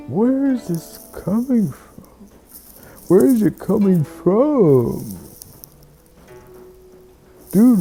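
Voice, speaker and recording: Dark soft-toned voice, slow halting bedtime-story monologue, creaky, musing, faint guitar music behind. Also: mid-range voice, rough recording. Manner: halting, musing, repeats words.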